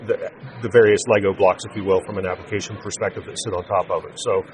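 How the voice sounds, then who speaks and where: A low-pitched man answers interview questions in a measured, corporate way. He speaks off the cuff, explaining things step by step. There is clear urban background noise around him. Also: fairly even intonation. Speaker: interview guest, telecom sales executive. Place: busy naval trade show event.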